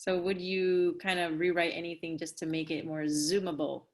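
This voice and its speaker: Low-voiced woman moderating a panel. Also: level tone, moderate pace. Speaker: moderator of a theatre Q&A. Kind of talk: casual live panel moderation over video call. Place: remote video call.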